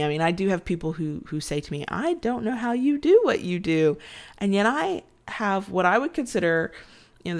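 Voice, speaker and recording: A woman hosting a casual late-night podcast, stuffed up and nasal from a sinus cold, tired and chatty, rambling and often restarting her sentences. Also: lowish voice. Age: adult with children. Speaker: podcast host.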